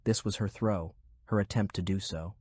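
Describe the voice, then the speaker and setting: Guy reading a war fantasy audiobook, lowish voice, dry close sound. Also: steady tone, normal speed, mellow, husky, no room sound. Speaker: audiobook narrator. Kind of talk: scripted narration of military fantasy fiction.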